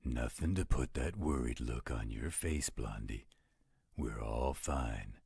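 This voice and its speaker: A man's voice: rumbling low, lively intonation, takes it slowly, creaky, darkish tone, husky, no room sound, full character voice. A man playing a confident, reassuring supernatural companion in a scripted roleplay audio drama.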